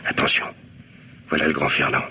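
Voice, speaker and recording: Low-voiced, gruff police commissioner. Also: male voice, little pitch movement, moderate pace, sharp tone, heavily acted. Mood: calm, watchful. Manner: curt, commanding. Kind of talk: French radio mystery play acting. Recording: dull, noisy old radio drama recording.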